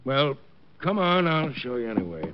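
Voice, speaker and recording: A man's voice: mid-range voice, sing-song, moderate pace, lightly acted. An actor playing a hesitant, obliging old theater doorman in an old-time radio drama. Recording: noisy, muffled old radio recording.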